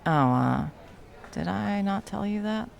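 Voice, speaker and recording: Actress as nonchalant villain moonlighting as cafe worker, comedy ASMR; gravelly fry, animated pitch, deadpan teasing. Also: female voice, takes it slowly, full character voice. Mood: casual, deadpan. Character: smug, deadpan young villain working as barista. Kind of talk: comedic ASMR roleplay acting.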